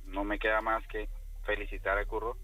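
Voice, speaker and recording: A middle-aged man phones in to a radio show. His voice is fairly low yet bright and forceful. He gives his view earnestly and courteously, often searching for words. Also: little pitch movement, a little fast, soft background music, rough recording. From outside Spain. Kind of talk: call-in opinion. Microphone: phone call.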